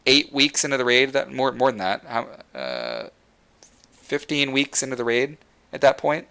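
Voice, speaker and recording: Bright-voiced young man, passionate stream host. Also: mid-range voice, animated, moderate pace, vocal fry, smooth. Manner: passionate, repeats words while thinking. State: losing his voice. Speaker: livestream host. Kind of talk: casual gaming rant to chat.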